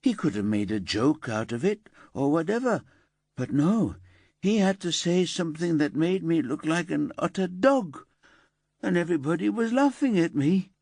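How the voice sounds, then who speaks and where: A man puts on a high, whiny teenage girl, indignant and sulky, her voice swinging up and down. Dry, close sound with no room around it. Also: big pitch swings, normal speed, creaky, a little mellow, no echo, exaggerated voice. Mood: resentful. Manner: whiny and indignant, rising to wailing.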